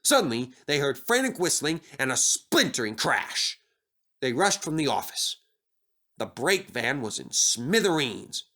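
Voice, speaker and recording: A man's voice: mid-range voice, very animated, a little slow, bright, forceful tone. A man reading a scripted children's story aloud as the narrator.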